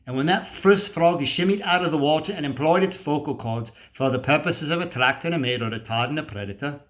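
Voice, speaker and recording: A man's voice: on the high side, fairly quick, close to an everyday voice. A man performing a dramatic monologue as a cynical, feverish, scornful apocalyptic drifter, ranting emphatically and preachily with swearing and rhetorical questions. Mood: fervent.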